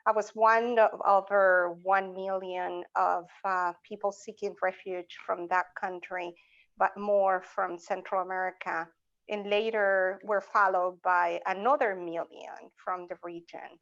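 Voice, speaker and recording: A middle-aged woman with a Salvadoran Spanish accent, introducing her academic work as a panelist in deliberate, halting phrases. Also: medium pitch, steady tone, a bit bright, dull audio. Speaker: panelist, associate professor. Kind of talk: semi-formal panel self-introduction.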